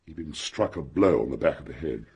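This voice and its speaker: An actor playing a well-bred, blunt English gentleman, a bereaved brother in a radio drama, clipped and forthright. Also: very deep voice, expressive, a little fast, breathy edge, exaggerated voice. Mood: grave, forthright.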